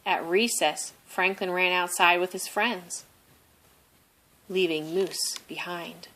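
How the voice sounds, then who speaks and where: A woman reading a children's story aloud expressively, deliberately stressing phrases. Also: lowish voice, fairly even intonation, pushed and bright. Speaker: storybook reader.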